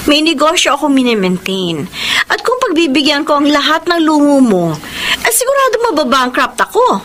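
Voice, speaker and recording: A woman's voice: high voice, big pitch swings, normal speed, a bit bright. An actress playing a shrewd, controlling Filipino woman moneylender in a radio drama, firm and possessive. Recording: noisy background ambience.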